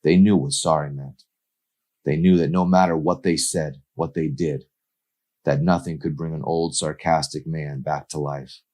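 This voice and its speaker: Male author, deep voice, expressive storytelling, audiobook-style reading. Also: normal speed. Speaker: author reading his own novel.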